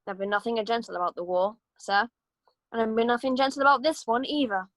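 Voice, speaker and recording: A woman's voice: on the high side, steady tone, quick pace, dry recording, natural voice. A woman playing a blunt, no-nonsense male army sergeant who handles horses, in an amateur script read-through.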